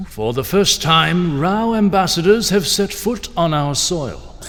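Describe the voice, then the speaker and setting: An actor playing a grand middle-aged king who gives a ceremonious speech to a banquet hall. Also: fairly high pitch. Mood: rousing. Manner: ceremonious, rousing oratory. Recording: long hall reverb with crowd murmur.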